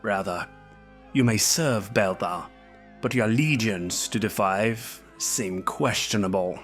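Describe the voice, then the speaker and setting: A man performs a cruel, stern knight zealot in a dark fantasy audio story, his low voice clipped and formal. His archaic phrasing is full of accusation, with soft music playing underneath. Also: takes it slowly. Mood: stern, accusing. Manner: snapping, formal archaic speech.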